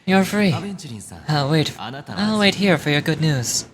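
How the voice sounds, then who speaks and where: A woman's voice: deep voice, animated, slow pace, a crowd in the background, pitched below the performer's own voice, full character voice. A woman voicing a stern, sanctimonious male religious judge from a video game, speaking formally and measuredly. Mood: cool, measured. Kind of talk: reading game dialogue aloud in character.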